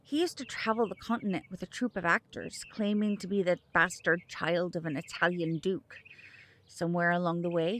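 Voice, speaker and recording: An actress playing a composed, knowledgeable mother with a measured manner in an audio drama. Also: on the low side, close to an everyday voice.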